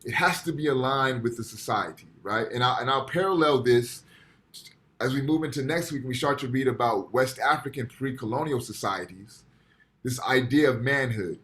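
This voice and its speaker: Guy lecturing a class on a zoom call, mid-pitch voice, keeps asking "right?". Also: moderate pace, fairly bright tone. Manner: explanatory, frequent "right?" check-ins. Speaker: university lecturer. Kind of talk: online lecture. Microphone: computer mic on video call.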